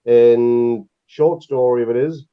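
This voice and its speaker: A man from northeast England with a Northeast English accent, chatting in a relaxed way with dry humour on a podcast over a video call. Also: mid-range voice, takes it slowly, smooth, lo-fi sound. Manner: laid-back, dry humour. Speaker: podcast co-host. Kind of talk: casual podcast chat.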